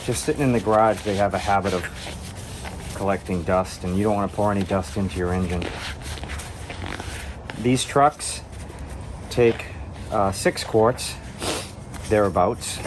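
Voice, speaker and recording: A man with a fairly low voice, congested and sniffly from a cold, gives a hands-on DIY tutorial. He speaks casually and plainly, explaining each step as he works. Clear background noise from the work surrounds his voice. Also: unhurried, long pauses. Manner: plain-spoken, talking through each step. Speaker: DIY car repair host. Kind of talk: hands-on tutorial, casual talk while working.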